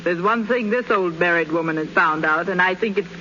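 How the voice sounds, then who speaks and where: A woman's voice: lowish voice, brisk, bright, forceful tone. A woman playing a chatty, earnest married woman giving advice in a 1930s radio advertisement. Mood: earnest, confiding. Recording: muffled, hissy 1930s radio recording.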